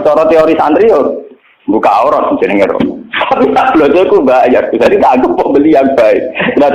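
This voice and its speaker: A man's voice: fairly high pitch, darkish tone. A middle-aged man with a Javanese accent gives an informal religious lecture as a cleric, speaking colloquially and bluntly in anecdotes, with rhetorical check-in questions. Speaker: Islamic cleric and religious teacher. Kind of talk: informal religious lecture mixing storytelling with teaching. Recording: dull, echoey, noisy lo-fi recording.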